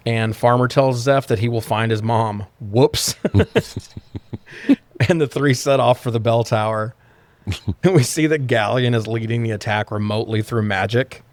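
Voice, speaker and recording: A man's voice: lowish voice, no echo. A man hosting a podcast, recapping a film in a casual, comedic way with plenty of swearing and sarcastic riffing.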